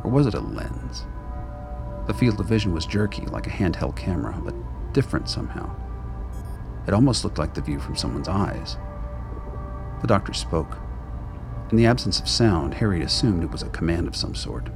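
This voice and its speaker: Narrator for radio drama: man, very low voice, dramatic scripted read over a music bed. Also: big pitch swings, normal speed, gravelly, pauses often.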